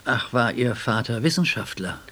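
A correct, inquisitive young scholar in a radio play. He speaks in a mid-pitched, rough-edged husky voice with formal, precise phrasing. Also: expressive, moderate pace, fairly bright tone. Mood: curious, puzzled. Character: correct, formal young doctoral student and jurist. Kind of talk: radio drama dialogue.

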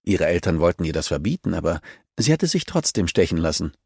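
A man voicing a composed, caring professional woman, gentle and coaxing yet self-assured, in an audiobook. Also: low voice, big pitch swings, fast talker, slightly rough, no echo, studio quality, heavily acted. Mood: gentle, probing. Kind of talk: audiobook character dialogue.

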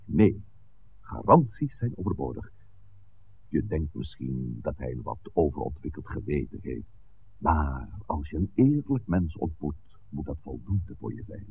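Very deep, creaky old village priest. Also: male voice, big pitch swings, full character voice. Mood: authoritative. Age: older. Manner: deliberate, eloquent, theatrical. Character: shrewd old village priest, genial and slyly persuasive. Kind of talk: 1960s Dutch radio play acting. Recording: tinny, band-limited old radio recording, hiss.

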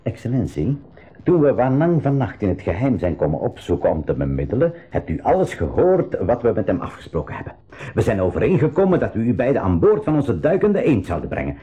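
A man's voice: lowish voice, big pitch swings, a little fast, mellow. A man playing a courteous crew leader in a radio drama, speaking politely and hesitantly but firmly. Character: courteous, firm uncle leading a submarine crew. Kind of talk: 1980s school radio drama acting.

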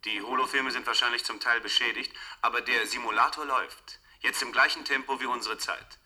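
A man's voice: mid-range voice, fairly even intonation, fairly quick, pushed and bright. An actor playing a serious, thoughtful man in a German science-fiction radio play. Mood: grave, urgent. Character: serious, thoughtful man reporting on a future crisis.

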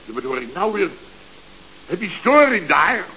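A man's voice: high-pitched, big pitch swings, moderate pace, bright, forceful tone, full character voice. An actor playing a bumbling, self-important male contractor boss in a radio comedy, stammering and repeating himself as he gives orders. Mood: bossy, flustered. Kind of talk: 1970s Dutch radio comedy serial acting. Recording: dull, noisy vintage radio recording.

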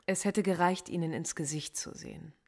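An actress playing a lonely, defiant philosophy professor under police interrogation in a radio play, speaking quietly and in measured phrases. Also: female voice, on the low side, steady tone, gravelly. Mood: guarded. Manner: quiet, measured, often hushed and introspective.

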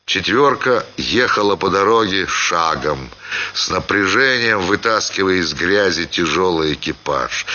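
Male narrator for literary prose: low, bright voice, theatrical phrasing, slightly dull recording. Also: a little hiss or hum. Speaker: actor reading a short story. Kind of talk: expressive scripted literary narration.

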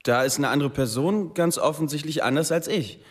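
An actor playing a cheeky, provocative high-school student in a radio drama, teasing and challenging his teacher. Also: brisk, lightly acted. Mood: cocky. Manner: mocking, cocky.

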